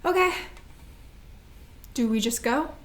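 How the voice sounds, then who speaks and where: A woman's voice: on the high side, expressive, slow pace, a bit bright. A woman playing a wounded, prickly younger sister in grief, in a staged play reading. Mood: tentative.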